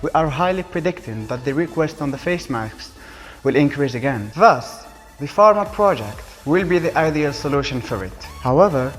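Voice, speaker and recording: A young man in his twenties reads a rehearsed pitch to a panel of judges. His delivery is formal and his voice sits slightly high. Faint music plays behind him. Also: lively intonation, moderate pace, big-room sound. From Algeria. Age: 20s. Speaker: student presenter addressing a jury. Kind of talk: reading a prepared pitch presentation.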